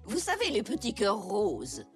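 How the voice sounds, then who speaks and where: A sweet gentle grandma zebra, kids cartoon, very up and down, music behind. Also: female voice, mid-range voice, wildly swooping pitch, a little slow. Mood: searching. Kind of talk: French children's cartoon dialogue.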